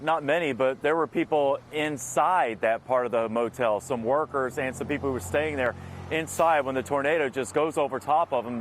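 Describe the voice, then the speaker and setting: Male field reporter, faint outdoor noise. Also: a little high. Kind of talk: live on-scene news report. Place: outdoors at a storm-damage scene.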